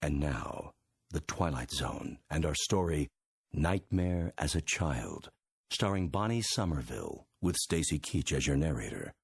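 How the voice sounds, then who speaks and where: Casting: male radio announcer, scripted intro, gravelly bottom-register voice with lively swoops. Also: very deep voice, unhurried, no room sound.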